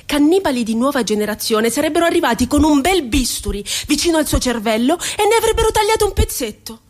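A high female voice playing a confined poet in a radio drama. She thinks aloud theatrically, passionate and wounded. Her delivery moves between biting irony, indignation and tenderness. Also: lively intonation, fast. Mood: bitter irony.

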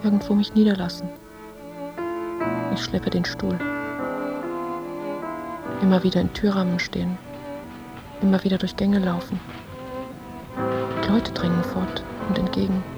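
A woman's voice: on the low side, little pitch movement, measured pace, vocal fry, pauses often, loud music behind the voice. A woman playing a melancholy, dreamy, independent young woman in an introspective, poetic radio play monologue. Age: young adult.

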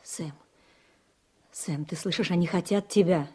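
Soothing wife in a radio play, mellow raspy voice, coaxing and a bit teasing. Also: female voice, lowish voice, unhurried. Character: judge's soothing, gently teasing, devoted wife. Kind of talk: Russian radio play acting.

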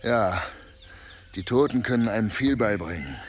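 An actor playing a weary, gruff former berserker warrior in a German audio drama, terse and grimly resigned. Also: male voice, fairly low pitch, animated, normal speed, slight background noise, natural voice. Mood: guarded, curt.

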